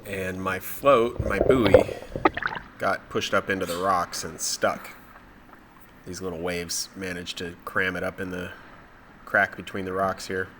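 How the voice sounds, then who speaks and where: A man with a cold and a stuffed-up nose, narrating his spearfishing dive footage in a relaxed, matter-of-fact way. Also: low-pitched, a little slow, noisy background. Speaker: spearfishing vlogger.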